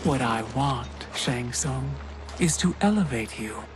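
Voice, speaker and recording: Man acting a slow, calculating mysterious benefactor. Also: medium pitch, lively intonation, close to an everyday voice. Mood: calculating, tempting. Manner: deliberate, knowing, persuasive. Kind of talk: video game cutscene acting. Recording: loud music behind the voice.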